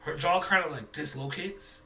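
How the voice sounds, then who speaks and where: A man improvising as game master, narrating in a halting, think-aloud way. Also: a little high, creaky, recorded from a distance, a crowd in the background, low-quality recording.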